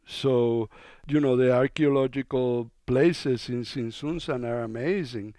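Smooth-voiced Cuban-born man, dry sound. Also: mid-range voice, measured pace, dry recording. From Cuba. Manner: relaxed, conversational, with fillers. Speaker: documentary filmmaker guest. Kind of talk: radio interview.

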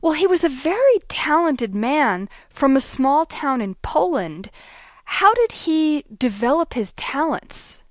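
A woman's voice: sits high, very animated, slow pace, no room sound. Dry-voiced woman radio host. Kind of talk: radio interview.

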